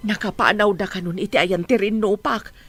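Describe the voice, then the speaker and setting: A woman's voice: mid-range voice, fairly even intonation, brisk, a bit bright, faint music behind, natural voice. A woman playing a worried, tender mother in a radio drama, with an Ilocano accent. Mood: relieved, concerned.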